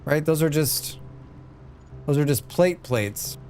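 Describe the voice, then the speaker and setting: A man's voice: a little high, animated, slow pace, darkish tone, faint music behind. A man with a scratchy voice, muttering and thinking aloud as he streams a game. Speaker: streamer playing a demo. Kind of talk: live gameplay commentary.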